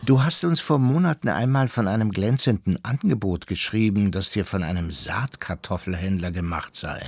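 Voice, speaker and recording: A man's voice: fairly low pitch, moderate pace, creaky, darkish tone, slightly rough, dry recording, clean studio sound. An actor playing a stern, sober man who reads out formal, admonishing letters in a radio play.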